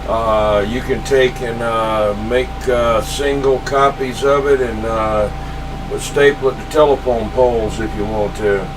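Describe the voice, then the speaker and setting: A man's voice: medium pitch, steady tone, unhurried. Distant man on a noisy CB radio. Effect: CB radio transmission. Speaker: amateur CB radio operator. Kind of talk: casual chat over CB radio.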